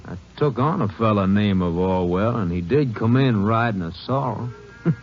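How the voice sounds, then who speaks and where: Low-voiced folksy ranch foreman played by an actor. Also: lively intonation, normal speed, natural voice. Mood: amiable, rambling. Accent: American. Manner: folksy, hesitant, chuckling. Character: amiable, chatty, hesitant ranch foreman. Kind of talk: old-time radio western acting. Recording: muffled vintage radio recording, faint noise.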